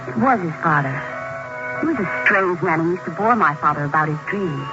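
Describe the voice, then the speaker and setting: A woman's voice: sits low, animated, fairly quick, lo-fi sound, over music. A woman acting as an anxious, earnest young woman in an old-time radio horror drama, speaking hesitantly on an old recording. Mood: haunted. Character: anxious, earnest young woman confiding dark family secrets.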